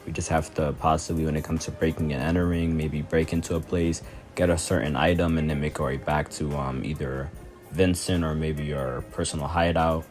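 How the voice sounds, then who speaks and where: A man with a deep voice hosts a gaming video. He explains things in a casual, conversational voice-over. Faint music plays behind him. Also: a little mellow.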